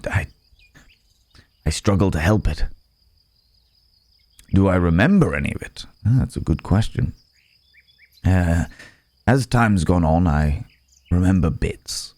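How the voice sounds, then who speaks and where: A man plays a courteous werewolf in human form for an ASMR audio roleplay. His voice is extremely low and mellow, and he talks slowly and haltingly. He comes across as grateful and apologetic, with old-fashioned politeness, in a close, dry recording. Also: dry recording. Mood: sheepish, apologetic. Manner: hesitant, halting, polite, soft chuckles, old-fashioned wording.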